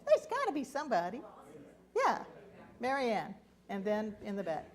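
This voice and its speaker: A woman's voice: fairly high pitch, huge pitch swings, moderate pace. A woman deacon giving a conversational sermon in a church and inviting the congregation to share. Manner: warm, conversational preaching to a congregation. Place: church sanctuary.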